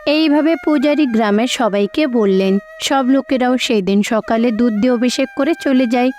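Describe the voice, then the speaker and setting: A woman's voice: fairly high pitch, moderate pace, a little mellow. A woman reads scripted narration for a cartoon fairy tale. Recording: slightly dull audio with music behind.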